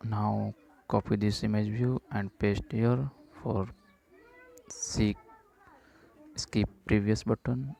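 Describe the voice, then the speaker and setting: A man slowly talking through app-building steps in a coding tutorial, dictating each step with short pauses and fillers. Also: fairly low pitch, creaky. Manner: slow, flat dictation of code steps with "uh" fillers. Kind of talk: screen-recorded coding walkthrough.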